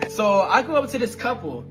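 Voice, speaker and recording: A man's voice: sits high, a little slow, noisy background. A man telling a casual, slangy story about one of his videos, acting out the other people's lines as he goes. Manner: animated, slangy, laughs while telling. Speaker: content creator telling a story.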